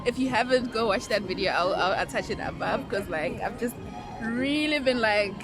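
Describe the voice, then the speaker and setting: A woman's voice: fairly high pitch, normal speed. A woman from South Africa hosting a casual outdoor chat, giggly and full of fillers. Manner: giggly, filler-heavy, upbeat. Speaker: vlogger host. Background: crowd chatter and music.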